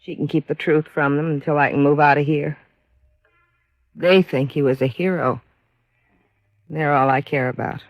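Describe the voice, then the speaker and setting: An actress playing a bitter, grieving American widow and mother in an old radio crime drama, speaking plainly in weary, halting phrases. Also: deep voice, fairly even intonation, no room sound. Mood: bitter, wounded. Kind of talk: 1950s radio drama acting. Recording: muffled vintage radio recording.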